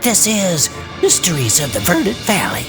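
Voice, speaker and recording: A laid-back cryptid investigator in a comedic audio drama. The voice sits low but moves around with lively swings. The character banters casually, with wry, teasing mock puzzlement. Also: female voice, normal speed, loud music behind the voice. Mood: announcing.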